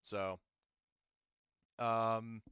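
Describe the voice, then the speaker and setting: A man casually running a tabletop roleplaying game as game master, often thinking out loud. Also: fairly low pitch, flat delivery, drawn-out words, clear, resonant tone, no echo, muffled recording.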